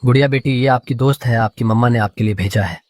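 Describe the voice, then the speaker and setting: A man voicing a gentle, caring father bird in a cartoon, with a reassuring manner. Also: mid-range voice, quick pace, dry recording, natural voice. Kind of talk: animated story dialogue.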